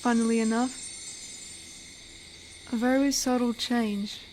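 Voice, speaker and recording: Woman as a gentle, high-voiced female werewolf. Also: fairly even intonation, takes it slowly, a little hiss or hum, rough recording. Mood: soft storytelling. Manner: gentle, teasing, soft-spoken. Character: amiable, flirty female werewolf, tender forest protector. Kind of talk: intimate roleplay audio drama addressed to the listener.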